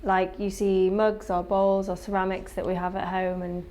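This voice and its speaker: A woman co-hosts a casual chat on camera, easygoing and quick to chime in. Her pitch is medium for a woman. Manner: relaxed, chimes in with short agreements. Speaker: co-owner of the shop, co-host.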